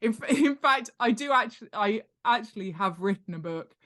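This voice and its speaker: A woman's voice: on the high side, a little fast. Woman explaining things informally and emphatically. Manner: animated, emphatic, checks in with "right?" and "okay?". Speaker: guest author and world-building expert. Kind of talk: informal explanatory interview talk.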